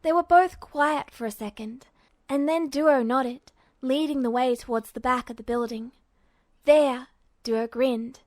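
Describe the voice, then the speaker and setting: Fiction narrator: woman, fairly high pitch, unhurried scripted read, close dry sound. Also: no echo.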